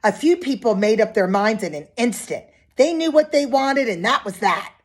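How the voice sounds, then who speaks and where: A woman with a fairly high, slightly husky voice reads a children's picture book aloud. She is expressive and phrases things simply, as a storyteller speaking directly to young listeners does. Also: moderate pace.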